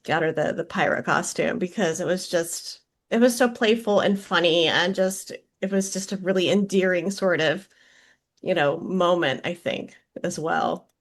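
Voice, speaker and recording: A woman's voice: on the low side, normal speed. A woman who voices drama characters, reminiscing in casual conversation. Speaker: voice actor on an audio drama.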